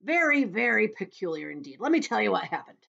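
A woman voicing a boastful, rascally male centipede in a loud, self-satisfied manner for a storybook. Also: mid-range voice, sing-song, a little fast, vocal fry, lo-fi sound, pitched above the performer's own voice, exaggerated voice. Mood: excited, bossy.

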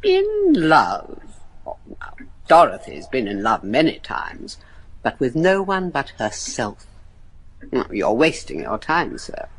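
A woman's voice: very deep voice, huge pitch swings, takes it slowly, slightly rough, full character voice, low-quality recording. An older woman playing a haughty, evasive grandmother, lady of a manor, in a gothic horror radio play. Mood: scornful. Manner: haughty, theatrical delivery.

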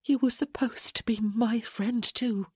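A woman's voice: on the high side, animated, measured pace, warm tone, slightly rough, no echo, clean studio sound, voice pushed up. A woman reading a storybook, voicing a hurt, angry young woman who speaks in an accusing, pleading way. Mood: hurt, soft.

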